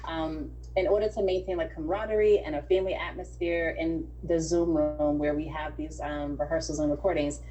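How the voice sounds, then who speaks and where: A woman's voice: deep voice, fairly even intonation, a little fast, few pauses, mellow, dull audio, a little hiss or hum. A woman acting as casting director, explaining things in an organised way on an online audition call.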